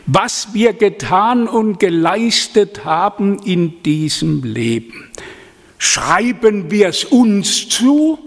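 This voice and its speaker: A middle-aged man preaching a sermon in an earnest, rambling way, often adding "ja?" as he goes. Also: a little high. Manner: earnest, digressive, with frequent "ja?" asides. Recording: long hall reverb.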